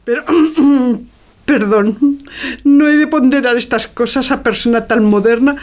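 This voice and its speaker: A woman's voice: high voice, measured pace, a little mellow. An actress playing an effusive, scheming middle-aged mother in a theatrical farce, affected and full of exclamations. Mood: gushing, affected. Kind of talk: theatrical farce audio drama.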